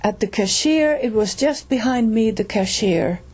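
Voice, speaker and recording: A middle-aged woman with a Finnish accent, telling a personal story slowly, with pauses and restarts. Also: fairly high pitch, lively intonation, a little mellow, husky. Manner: slow, halting, with pauses and restarts. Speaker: reader and storyteller.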